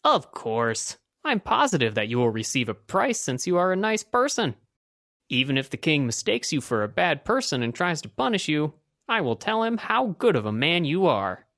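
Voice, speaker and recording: A woman's voice: deep voice, sing-song, moderate pace, fairly bright tone, voice pushed down, heavily acted. A woman voicing a frank, plain-spoken male friend in a children's story, with emphatic delivery. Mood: reassuring.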